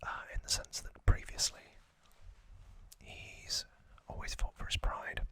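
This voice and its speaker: A man whispering gently and breathily as an ASMR host, recapping a story in unhurried, halting phrases close to the microphone.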